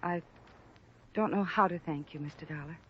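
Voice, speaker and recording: A woman's voice: sits low, normal speed, a little hiss or hum, natural voice. A woman acting a proud, cornered young former chorus girl in a 1950s radio drama, earnest and plaintive with an American accent. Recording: dull restored old radio recording.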